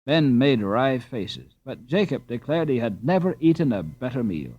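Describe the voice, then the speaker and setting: A man's voice: medium pitch, big pitch swings, normal speed. A man reading a children's novel aloud as a scripted audiobook narration. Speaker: audiobook reader. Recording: slightly dull, dry recording.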